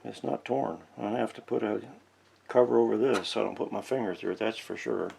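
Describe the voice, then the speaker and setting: A man's voice: on the low side, fairly even intonation, fairly quick, creaky, darkish tone, breathy edge. A man giving casual, folksy, unscripted narration as he works with his hands, thinking aloud as he goes. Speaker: host of a vintage radio repair channel. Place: indoor workshop.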